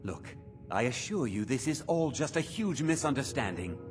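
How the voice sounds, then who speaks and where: An actor playing a fussy meadery owner in a fantasy video game, with an obsequious, fretful manner. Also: male voice, mid-range voice, sing-song, moderate pace, music in the background, lightly acted. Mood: panicked, pleading. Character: fussy male meadery owner, servile to his betters.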